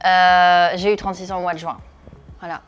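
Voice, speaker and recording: A woman's voice: lowish voice, little pitch movement, a little fast, clean tone, faint music behind. A woman in her thirties from northeastern France, a comedian answering interview questions, hesitant with many filler sounds. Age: 30s. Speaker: comedian and video creator.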